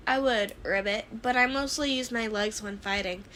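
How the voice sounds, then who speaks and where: Woman voicing a blunt, high-voiced frog girl. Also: moderate pace, lightly acted. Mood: matter-of-fact. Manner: blunt, flat, adds "ribbit" to sentences. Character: blunt, practical frog-like teenage girl hero student.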